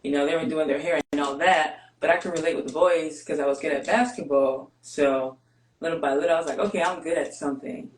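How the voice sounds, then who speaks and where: Greek-born woman, candid, in a roomy space. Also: deep voice. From Greece. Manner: candid, steady, measured with pauses. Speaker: guest, basketball player. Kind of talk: reflective interview storytelling.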